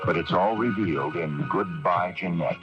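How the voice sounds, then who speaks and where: A man's voice: sits low, normal speed. A man announcing a scripted radio commercial with sultry, dramatic salesmanship. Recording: muffled radio broadcast, loud music behind. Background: loud music.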